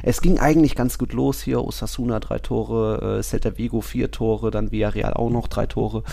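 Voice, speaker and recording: A man's voice: on the low side, steady tone, moderate pace, creaky, dark tone. A man chatting casually as a sports podcast co-host, with a conversational, lightly teasing way of talking.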